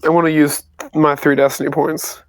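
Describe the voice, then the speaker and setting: Man with a slightly high voice playing a tabletop game. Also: moderate pace, a crowd in the background. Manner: relaxed, swears casually. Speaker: player. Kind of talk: casual tabletop play.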